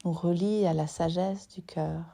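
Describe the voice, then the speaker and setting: A woman leads a guided yoga nidra relaxation as the teacher, soothing and unhurried, leaving long pauses between short phrases. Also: on the low side, fairly even intonation, vocal fry, warm tone. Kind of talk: guided meditation.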